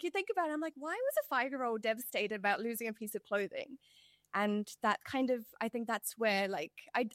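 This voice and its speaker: A woman's voice: mid-range voice, no room sound, clean studio sound. A woman with a mixed, hard-to-place accent, talking in a reflective, rambling way with many fillers and restarts as an interview guest. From India. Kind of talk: casual interview chat.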